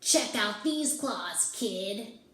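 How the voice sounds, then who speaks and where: Woman putting on a raspy, snappy girl monster. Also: mid-range voice, lively intonation, very slow, bright, forceful tone, roomy sound, voice pushed up, heavily acted. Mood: cocky. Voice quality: rasping. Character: snappy, tough female monster with razor claws.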